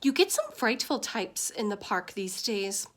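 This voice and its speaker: A woman's voice: mid-range voice, very animated, measured pace. A woman reading as a snooty, bossy upper-class mother with a haughty, commanding manner in a storybook. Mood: worried, disdainful.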